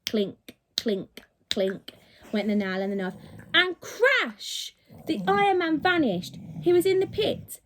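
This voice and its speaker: A woman's voice: high-pitched, sing-song, slow, fairly bright tone, a little hiss or hum. A woman reading a children's story expressively, with dramatic, emphatic delivery, like a teacher reading to pupils.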